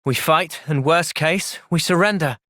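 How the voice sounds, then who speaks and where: A man voicing an earnest, thoughtful young soldier in a video game, with an English accent and a measured, sincere delivery. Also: fairly high pitch, fairly bright tone, dry recording, studio quality. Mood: calm, earnest. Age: young adult. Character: earnest, thoughtful young male soldier, gentle but resolute. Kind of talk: video game cutscene acting.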